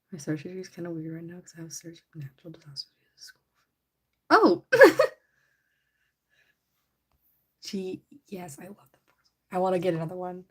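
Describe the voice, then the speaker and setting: A young woman hosting a casual livestream, chatty and giggly, her voice a little raspy from allergies. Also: fairly low pitch. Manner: chatty, giggly, rambling with many "like"s. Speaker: livestream host. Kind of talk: casual livestream chat while painting a figure. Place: indoors at home.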